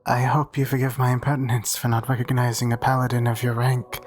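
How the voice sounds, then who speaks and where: A man's voice: medium pitch, flat delivery, fast, faint music behind, lightly acted. A man playing a meek, devout and easily flustered cathedral priest in a roleplay audio drama, speaking formally and hesitantly. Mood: flustered, reverent.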